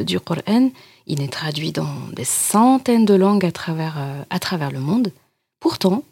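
A young adult woman hosting a podcast as a Quran teacher, talking earnestly and gently straight to the listener. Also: fairly low pitch, husky, no echo. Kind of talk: reflective spoken podcast talk.

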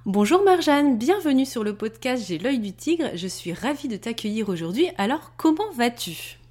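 A woman's voice: fairly high pitch, normal speed. A woman hosting a podcast interview, introducing her guest and asking questions with enthusiasm. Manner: lively, enthusiastic. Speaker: podcast host.

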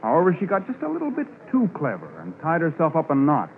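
An actor playing a matter-of-fact police officer recounting cases in conversational 1950s radio drama style. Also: male voice, on the high side, wildly swooping pitch, fast talker, phone-quality sound. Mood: reflective, firm. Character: male police officer calmly recounting an old case. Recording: noisy band-limited 1950s radio recording.

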